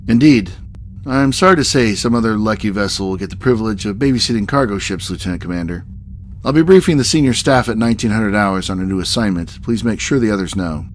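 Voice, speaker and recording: A man playing a composed, diplomatic starship captain in a science-fiction audio drama, crisp and commanding. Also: on the low side, fast, a little hiss or hum, close to an everyday voice. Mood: businesslike.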